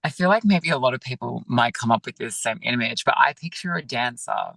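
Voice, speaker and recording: A man, a yoga teacher, talking gently and reflectively over a video call, with many pauses and fillers. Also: medium pitch, expressive, gravelly, no echo. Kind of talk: reflective interview talk.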